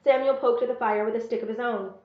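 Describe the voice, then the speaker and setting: Female read-aloud narrator, expressive and measured, first-person fiction, roomy and slightly dull recording. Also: on the high side.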